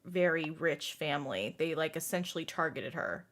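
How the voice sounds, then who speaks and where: A woman hosting a casual book discussion, chatting in an animated way and voicing her own thoughts aloud. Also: deep voice, normal speed. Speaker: podcast host.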